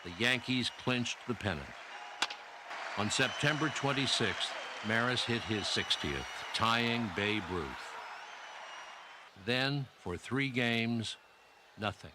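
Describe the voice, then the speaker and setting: A man reading scripted sports documentary narration in a measured way with dramatic pauses. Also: medium pitch, lively intonation, background noise. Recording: music and crowd under narration.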